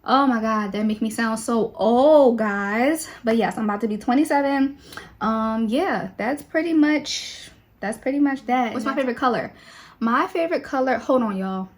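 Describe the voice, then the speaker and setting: A woman's voice: fairly high pitch, moderate pace. A woman, chatting casually to the camera about herself while she does her makeup. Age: 20s. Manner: chatty and relaxed, with many fillers. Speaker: beauty vlogger.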